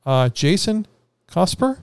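A man's voice: high-pitched, big pitch swings, slow pace, clear, resonant tone, no echo. A man hosting a casual podcast panel, joking and self-deprecating as he introduces his guests. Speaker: podcast host.

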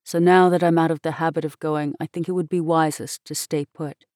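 Woman voicing a low, reserved young minister. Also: fairly even intonation, a little mellow, no echo, clean studio sound, lightly acted. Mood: gentle sadness. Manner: courteous, measured. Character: polite, reserved, gentle young male minister, melancholy in love.

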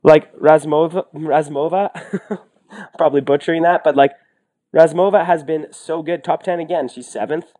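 A man's voice: fairly high pitch, fairly even intonation, normal speed, warm tone. A man chatting casually about sports as a podcast co-host, enthusiastic and emphatic, often rambling as he goes. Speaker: podcast co-host and ski racing commentator.

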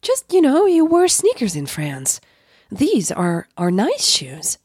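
A woman voicing a nervous, smitten woman who rambles haltingly in a romantic audio drama. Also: mid-range voice, huge pitch swings, a little mellow, slightly rough, no room sound, studio quality, full character voice. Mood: nervous, flustered. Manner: nervous, halting, stammering repeats. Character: flustered, smitten woman, awkwardly rambling and eager to please.

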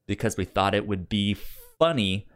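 A man co-hosting a podcast in casual conversation, speaking haltingly and often repeating or tripping over words. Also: on the low side. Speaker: podcast co-host. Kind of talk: casual chat.

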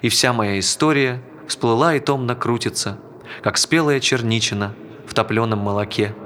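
A man's voice: medium pitch, moderate pace, a bit bright, slight background noise. A man performing a rhythmic verse monologue in an audio play. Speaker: actor reciting in an audio play.

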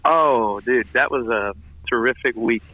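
A slightly hoarse man, a family ministries pastor, calling in to tell an upbeat, enthusiastic story full of fillers. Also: medium pitch, unhurried, dry recording. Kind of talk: casual phone-in report. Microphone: phone call. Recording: tinny phone line.

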